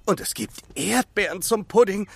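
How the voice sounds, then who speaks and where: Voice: medium pitch, expressive, normal speed, vocal fry, slightly rough. An actor playing an easygoing, sturdy boy who loves food in a children's audio drama. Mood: delighted.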